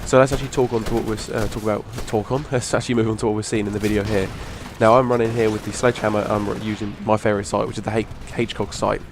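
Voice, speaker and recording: A hoarse young man, rambling casually through a video game weapon review. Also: fairly low pitch, flat delivery, racing, hardly any pauses, noisy background. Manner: rambling, restarts a lot, casual swearing. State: hoarse from a cough. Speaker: gaming channel host. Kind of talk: casual gameplay commentary.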